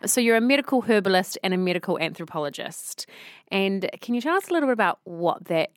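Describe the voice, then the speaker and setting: A woman's voice: mid-range voice, animated, quick pace, no room sound, studio quality. A woman co-hosting a podcast in an enthusiastic, gushing, casual way. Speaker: podcast co-host.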